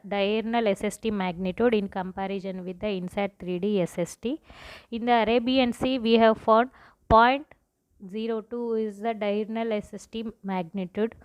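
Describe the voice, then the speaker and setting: A woman with an Indian accent giving an academic research presentation in a halting, explanatory way, often repeating herself. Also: medium pitch, darkish tone, clean tone, rough recording. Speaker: research scientist presenting results.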